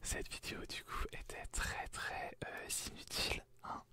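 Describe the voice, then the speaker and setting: A man's voice: measured pace, bright, forceful tone. A young man from France whispering through a casual vlog in a joking, self-mocking way. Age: young adult. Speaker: freelance graphic designer. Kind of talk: whispered ASMR-style vlog.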